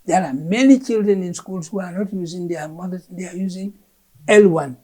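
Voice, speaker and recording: Gambian woman, mellow husky voice. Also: fairly low pitch, normal speed. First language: Fula. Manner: emphatic, repeats words when searching. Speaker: curriculum official, interview guest. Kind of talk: explaining education policy in an interview.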